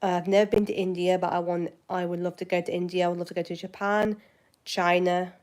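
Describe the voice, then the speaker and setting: A woman's voice: lowish voice, little pitch movement, fast, a little mellow. A woman from West Yorkshire with a Yorkshire accent, hosting her channel and chatting casually with viewers, rambling with many fillers and restarts. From West Yorkshire, England. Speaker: channel host. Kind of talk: casual chat answering viewers.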